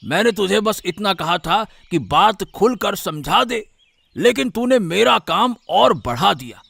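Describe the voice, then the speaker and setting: A man's voice: high voice, animated, unhurried, gravelly, bright, forceful tone, husky, no room sound, heavily acted. A man voicing an irritable, scolding senior villager in a cartoon story. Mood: exasperated.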